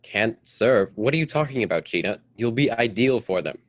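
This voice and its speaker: A man playing a stuffy, domineering politician husband in a radio melodrama, with a brisk, formal, patronizing manner. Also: mid-range voice, lively intonation, dry recording, lightly acted. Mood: insistent, indignant. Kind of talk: old-time radio drama acting.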